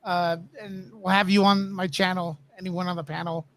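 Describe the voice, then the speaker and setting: A man with a distinctly high voice talks in an easy conversational style. He speaks as a guest in a panel discussion. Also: level tone, moderate pace, fairly bright tone, no room sound. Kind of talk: casual chat.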